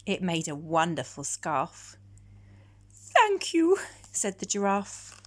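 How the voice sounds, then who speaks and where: Slow-paced woman reading a storybook. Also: on the low side. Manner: measured, expressive. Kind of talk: children's storybook narration.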